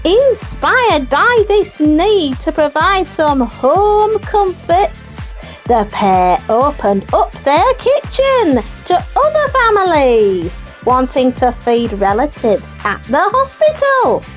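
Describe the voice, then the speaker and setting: Woman voicing a high-pitched earnest child puppet. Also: very animated, takes it slowly, faint music behind, heavily acted. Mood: earnest, upbeat. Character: Kai, bright young child puppet co-presenter. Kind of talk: scripted kids' news show presenting.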